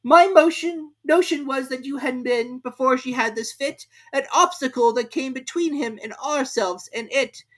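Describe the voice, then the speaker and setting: A woman voicing a nervous, hurried male rabbit herald who speaks respectfully but in a fluster. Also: sits high, a bit bright, voice pushed up. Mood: reciting.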